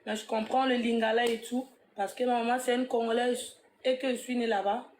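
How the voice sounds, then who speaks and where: Female guest singer, fairly high voice, short halting replies, faint noise behind. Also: little pitch movement, darkish tone, reverberant. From Burkina Faso, born in Kinshasa. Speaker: guest musician. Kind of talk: interview answers.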